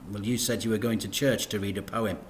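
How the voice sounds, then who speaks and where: A man's voice: on the low side, fairly even intonation, fairly quick, slight background noise, lightly acted. A man voicing a cheeky, earnest young boy in a children's storybook, insistent and pleading.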